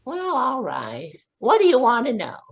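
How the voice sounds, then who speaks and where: A woman voicing a brisk, independent, sharp-tongued spinster in a live radio drama, with spirited, indignant retorts. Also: high voice, wildly swooping pitch, gravelly, slightly rough, heavily acted. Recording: dull lo-fi video call audio.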